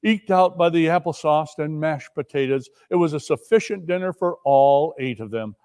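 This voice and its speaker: A man giving a theatrical storybook narration, deliberate and expressive. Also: a little high, clean tone. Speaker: narrator in a dramatic reading.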